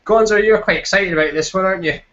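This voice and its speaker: Higher-voiced Scottish man hosting, dull sound. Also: fast talker, fairly bright tone. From Scotland. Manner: self-deprecating, jokey. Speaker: host of a football fan chat show. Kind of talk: casual chat. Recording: dull lo-fi voice chat.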